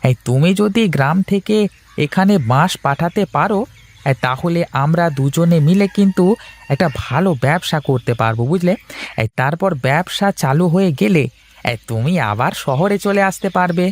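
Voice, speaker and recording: A man's voice: on the high side, animated, normal speed. A man voicing a respectful, persuasive younger friend in a cartoon story, explaining things in a coaxing way. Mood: persuading. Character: deferential, persuasive male friend in town with business ideas.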